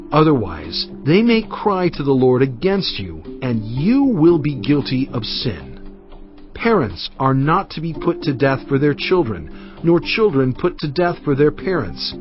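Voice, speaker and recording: A man narrating scripture in a solemn, measured and emphatic dramatized reading. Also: medium pitch. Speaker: Bible narrator. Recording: dull audio with music bed.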